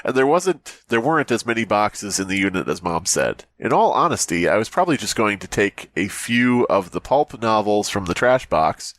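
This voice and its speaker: A man's voice: on the low side, normal speed, few pauses, no echo. A man co-hosting a podcast, chatting casually and reading a story aloud while laughing and swearing a lot. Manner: lively, cracks himself up laughing and swearing.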